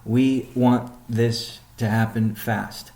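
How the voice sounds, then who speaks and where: A man leading an informal business update call as a chief executive, explaining things in a measured way with pauses mid-sentence. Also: mid-range voice, little pitch movement, dark tone. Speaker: company CEO hosting a weekly update call. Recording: roomy, echoing sound.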